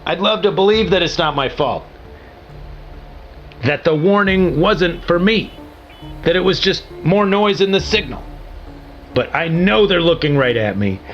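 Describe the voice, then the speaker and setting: A male actor playing a weary, resigned, bitter man giving his last transmission in a found-footage audio drama, speaking haltingly. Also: high voice, expressive. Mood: fearful, guilty. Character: doomed, resigned man sending a final radio transmission. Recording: muffled lo-fi transmission, faint music.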